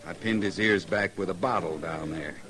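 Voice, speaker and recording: A man's voice: deep voice, moderate pace, fairly bright tone. An American man playing a weary but proud middle-aged former lawman and gunfighter in a radio western. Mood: weary, stubborn. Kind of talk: old-time radio western acting.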